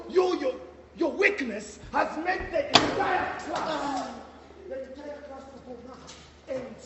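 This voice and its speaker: A man playing a tyrannical school headmistress in a stage play, booming threats and sneering insults. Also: heavily acted. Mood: raging. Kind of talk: amateur stage play acting. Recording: echoey hall, mic far off, noisy.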